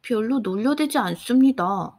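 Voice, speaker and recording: A woman's voice: a little high, animated, normal speed, a little mellow, pitched above the performer's own voice. A woman voicing a cheerful, chatty young boy page who talks in a polite, formal way, in a bedtime audiobook. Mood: politely cheerful. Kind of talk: audiobook character voice.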